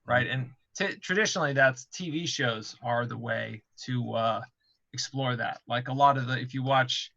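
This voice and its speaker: A man's voice: medium pitch, normal speed, creaky, pushed and bright, dry recording, highs cut off. A man in a casual panel discussion as a game developer, explaining things by thinking aloud and repeating himself.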